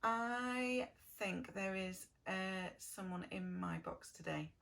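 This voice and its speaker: A woman teacher telling a story to preschool children in a sing-song, exaggerated way, gently asking them questions. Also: medium pitch, slow, vocal fry. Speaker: preschool early years teacher reading a story.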